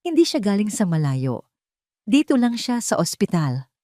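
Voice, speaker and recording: A woman voicing an elderly male doctor in an audio drama, serious, gentle and measured. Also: medium pitch, big pitch swings, no echo, clean studio sound, natural voice. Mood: grave, calm. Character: grave, calm old male doctor, worried uncle figure.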